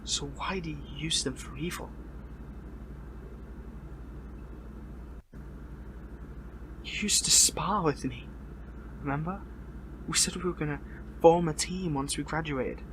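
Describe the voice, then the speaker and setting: A man playing a bitter, defiant captured superhero in an audio roleplay, speaking in a strained, halting, taunting way. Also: a little high, a bit bright, a little hiss or hum, close to an everyday voice, rough recording. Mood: pained, pleading. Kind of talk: dramatic audio roleplay monologue.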